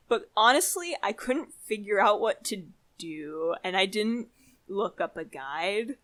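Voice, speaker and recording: A woman with a mid-pitched voice chats casually as a podcast co-host. Her manner is informal and giggly, as in an easy conversation. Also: a bit bright. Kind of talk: casual chat.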